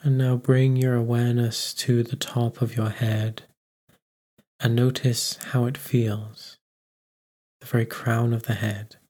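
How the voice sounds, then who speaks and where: A man guiding a meditation, giving gentle instructions with unhurried pauses. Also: medium pitch, little pitch movement. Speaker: meditation guide. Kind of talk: guided meditation narration.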